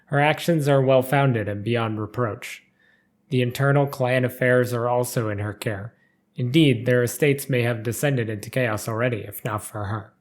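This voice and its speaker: A man narrating written lore as a storyteller, with a measured, formal read-aloud delivery. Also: medium pitch. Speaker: narrator reading game character lore.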